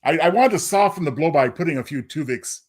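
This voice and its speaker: A man's voice: fairly high pitch, expressive, fairly quick. A man co-hosting a podcast in casual conversational chat. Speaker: podcast co-host.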